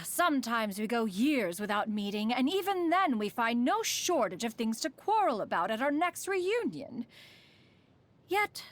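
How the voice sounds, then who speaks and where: A woman voicing a dignified, haughty yet caring immortal adeptus in a video game, with formal, archaic diction. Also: on the high side. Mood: wistful. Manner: formal, lofty, archaic diction, calls oneself "one". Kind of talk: scripted video game character voice lines.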